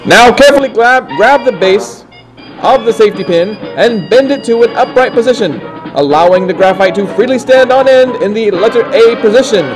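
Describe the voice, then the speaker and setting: High-voiced male instructional narrator over music. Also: poor audio. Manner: deliberate, folksy step-by-step delivery. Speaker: instructor narrating a how-to film.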